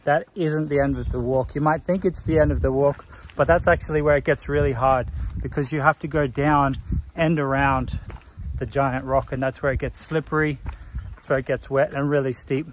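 A man's voice: mid-range voice, normal speed. Guy in his 30s vlogging on a forest trail, phone at arm's length, bit of outdoor hiss. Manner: relaxed, informative, talking while walking. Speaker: hiking vlogger. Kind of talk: casual trail commentary. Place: outdoors on a rainforest hiking trail. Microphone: phone camera at arm's length.

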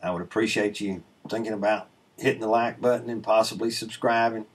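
A man's voice: on the low side, steady tone, fairly quick, breathy edge. A middle-aged man casually explaining his sawmill work over video footage, laid-back and rambling with plenty of ums and uhs, recorded at his desk on a webcam. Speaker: sawmill channel host. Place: indoor room, voice-over recorded away from the sawmill. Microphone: webcam inset, seated at a desk.

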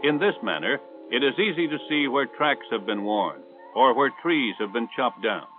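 An American man reading scripted instructional narration for a 1960s military training film in a measured, authoritative way. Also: medium pitch, lively intonation, faint music behind. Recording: tinny band-limited 1960s film soundtrack.

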